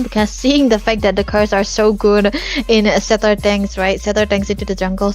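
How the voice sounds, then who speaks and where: A woman casting a live esports match, breaking down plays and draft picks in detail. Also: medium pitch. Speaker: esports caster.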